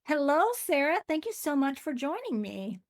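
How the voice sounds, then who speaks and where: A woman's voice: high-pitched, big pitch swings, moderate pace, dry recording, clean studio sound. A woman hosting an interview, asking questions in an upbeat, welcoming way. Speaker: podcast host.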